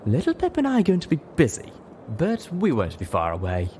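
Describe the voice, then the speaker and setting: A playfully seductive unicorn mare acted by a male reader, sly and affectionate. She teases coyly in a raised, lilting pitch, as storybook dialogue with some noise behind it. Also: huge pitch swings, fairly quick, darkish tone. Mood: friendly, coy. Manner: sly, coy teasing.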